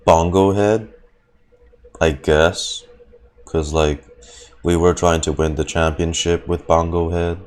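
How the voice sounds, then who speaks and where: A man's voice: sits low, little pitch movement, takes it slowly, pauses often, darkish tone. A man streaming a game with casual, playful, sweary commentary, sometimes reading dialogue aloud. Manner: profane, playful. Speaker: gaming streamer. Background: faint game music.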